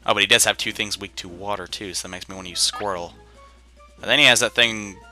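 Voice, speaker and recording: A man's voice: lowish voice, fast, pushed and bright. A young man giving casual, rambling commentary while playing a video game, chatty and self-deprecating with plenty of fillers. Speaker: let's play commentator. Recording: game music behind voice.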